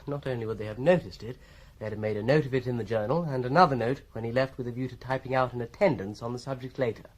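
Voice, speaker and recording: An urbane British solicitor played by a male actor in a radio crime drama. His voice is of middling pitch, measured and arch. He explains office life with dry irony and a faintly superior air. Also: lightly acted. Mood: wry, explanatory. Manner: arch, drily ironic, measured.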